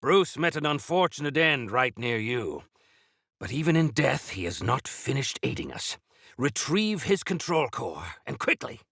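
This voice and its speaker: An actor playing a defiant, feisty old exiled warrior-engineer in a video game, gravelly and theatrical, with grandiose, archaic turns of phrase. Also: male voice, mid-range voice, big pitch swings, a little slow, fairly bright tone, dry recording, clean studio sound, exaggerated voice. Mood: wry, brisk. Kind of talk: video game character acting.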